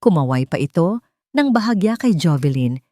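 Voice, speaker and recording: Woman reading a dramatic love story, lowish voice, super dry recording. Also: very animated, moderate pace, mellow, dry recording.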